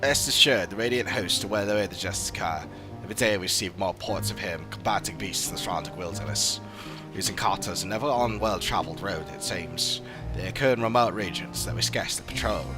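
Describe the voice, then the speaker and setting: Guy as a stern low-voiced game guard officer, very official, music going. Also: fairly quick, close to an everyday voice. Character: stern formal fantasy patrol officer giving report. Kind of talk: scripted game dialogue reading.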